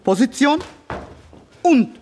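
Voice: sits high, lively intonation, slow pace, mellow, a little hiss or hum. An actor playing a stern, taunting martial-arts instructor from China, barking clipped commands in a radio crime drama. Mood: taunting, commanding.

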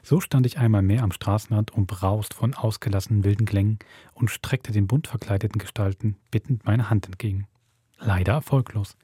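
A man with a Swiss accent hosting a podcast, reading a spooky story aloud in a measured storytelling manner. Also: fairly low pitch, steady tone, dark tone, husky, no room sound. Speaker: podcast host.

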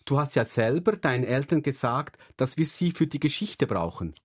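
A man's voice: medium pitch, lively intonation, moderate pace, breathy edge, pitched above the performer's own voice. A man reading as a dreamy young schoolgirl. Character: dreamy schoolgirl who loves fairy stories.

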